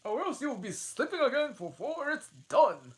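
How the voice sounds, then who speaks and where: A woman's voice: sits high, very animated, moderate pace, pitched above the performer's own voice. A woman voicing a rude, smug man who makes hats, in a storybook reading.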